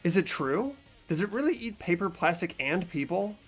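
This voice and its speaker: A man's voice: high-pitched, big pitch swings, normal speed, husky, faint music behind, heavily acted. A man voicing an earnest, puzzled teenage boy who thinks aloud while investigating in a visual novel game. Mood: curious, musing. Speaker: amateur voice actor in a fan playthrough. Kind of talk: fan-dubbed visual novel acting and narration.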